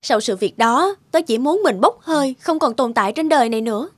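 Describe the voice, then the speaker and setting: A woman's voice: sits high, animated, fairly quick, no echo. An actress playing a bitter, wounded grown woman out for revenge in a cartoon, speaking with dramatic resentment. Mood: guarded. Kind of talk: cartoon dubbing.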